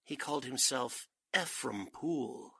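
An actor playing a suave, grandiose fake spiritualist cult leader in a 1940s-style radio drama, speaking formally and theatrically. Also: male voice, mid-range voice, unhurried, vocal fry, bright, forceful tone, breathy edge, dry recording, clean studio sound, heavily acted. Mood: solemn, mystical.

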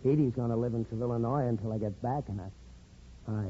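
An actor playing an eager, earnest young son, a little hesitant, in 1950s radio drama style. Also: male voice, medium pitch, fast, dark tone, close to an everyday voice. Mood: earnest, subdued. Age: young adult. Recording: muffled 1950s radio broadcast, noisy.

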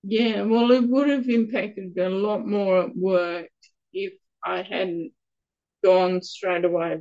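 Quiet, gravelly woman, slow. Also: mid-range voice, lo-fi sound. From Australia. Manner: halting, stumbles a little over words. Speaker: guest with Parkinson's. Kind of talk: casual interview answers.

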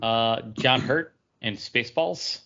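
A man's voice: medium pitch, slow, pushed and bright, dull audio. A man co-hosting a podcast, talking casually with friends. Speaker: podcast co-host. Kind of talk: casual chat, reading out a list.

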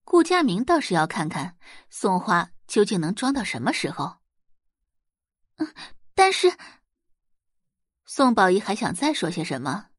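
A woman's voice: lowish voice, slightly rough, no echo, studio quality. A woman reading scripted dramatic narration as an audiobook narrator.

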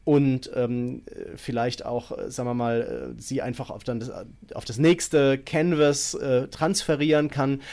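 Podcast co-host, man, mid-pitched, chatty explainer with plenty of hesitations. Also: unhurried, vocal fry, a little mellow. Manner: explanatory, many fillers. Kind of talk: casual podcast chat.

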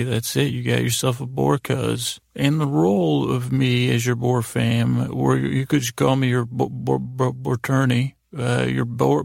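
A man with a gravelly voice hosting a sleep podcast, rambling whimsically and stammering as he repeats and plays with words. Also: medium pitch, dry recording, clean studio sound. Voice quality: gravelly, creaky. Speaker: podcast host telling bedtime stories.

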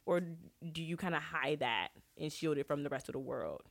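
A woman's voice: deep voice, moderate pace, creaky. A young woman hosting her own podcast, talking casually and earnestly to listeners while congested and sniffly from a cold. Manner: earnest, asks listeners direct questions. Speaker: podcast host. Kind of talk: casual solo podcast advice talk.

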